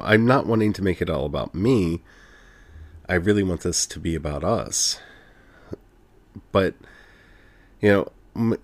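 A podcast host with a very deep, low-pitched voice talks alone into the mic in an earnest, heartfelt way. The delivery is reflective and personal, dotted with soft "you know" fillers. The sound is close and dry, with no room behind it. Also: no room sound. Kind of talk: reflective solo podcast monologue.

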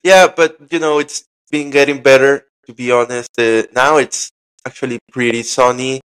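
A man hosting a casual conversational interview, speaking a little haltingly and repeating phrases. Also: medium pitch, fairly even intonation, a bit bright, clear, resonant tone, low-quality recording. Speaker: interview host.

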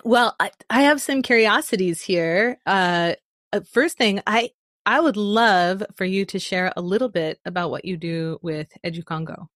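A woman hosting a podcast who reads a guest introduction and then asks gently curious questions, affirming the guest and hesitating with "um" before asking. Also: mid-range voice, normal speed, no echo, clean studio sound. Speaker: podcast host.

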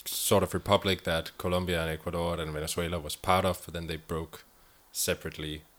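Man, low voice, native Danish speaker, podcast co-host, casual discussion. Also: flat delivery, a little fast, fairly bright tone.

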